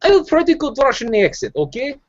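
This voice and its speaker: A man's voice: extremely high pitch, sing-song, fast talker, a crowd in the background. A man in a casual group voice chat, boastful and swearing, laughing at his own stories. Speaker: chat participant. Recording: dull lo-fi voice chat.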